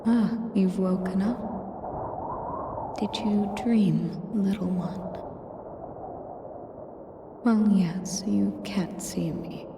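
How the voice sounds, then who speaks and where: A woman acts out an imperious dragoness who treats the listener as her possession. She speaks very slowly and formally, in a lowish, breathy voice with cruel command. It is an intimate audio roleplay over clearly noisy ambience. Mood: calm, condescending. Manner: measured, commanding, breathy delivery with formal wording. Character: sadistic, possessive, imperious female dragon kidnapper.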